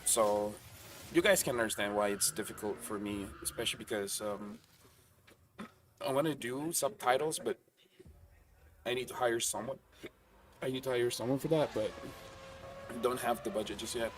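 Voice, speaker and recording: A man chats loosely with his stream viewers, answering their comments in an offhand, easygoing way. His voice sits at an ordinary pitch and sounds set back from the mic, with a touch of distance in the sound. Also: moderate pace, long pauses, slight background noise. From Malaysia. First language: English. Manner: chatty and offhand, reads out chat comments. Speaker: streamer hosting a watch party. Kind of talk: casual chat with viewers.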